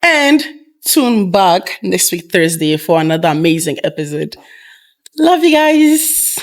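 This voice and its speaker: A woman's voice: fairly low pitch, sing-song, a little slow. A woman hosting a podcast, talking in a casual group chat style. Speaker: podcast host.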